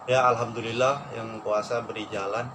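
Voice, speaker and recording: A young man from Probolinggo with a Madurese-tinged Indonesian accent, telling stories candidly and in a relaxed way in a casual chat. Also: lowish voice, moderate pace. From Probolinggo, East Java. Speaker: radio announcer and aspiring actor. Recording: noisy background.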